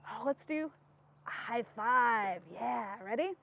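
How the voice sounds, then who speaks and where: Children's storytime host, female: high, very animated, question-and-answer delivery, slightly dull recording. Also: takes it slowly, gravelly, slight background noise. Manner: asks simple questions and answers them. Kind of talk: interactive read-aloud for young children.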